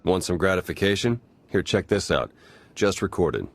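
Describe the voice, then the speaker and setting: An actor playing a wry, easygoing spy and hacker in a video game, talking in a casual, dry way. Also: male voice, deep voice, animated, normal speed, vocal fry. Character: wry, easygoing male spy and slicer ally.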